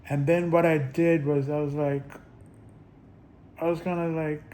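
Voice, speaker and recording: A man vlogging in a casual, rambling monologue, halting often with restarts and little chuckles. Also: fairly high pitch, level tone, warm tone.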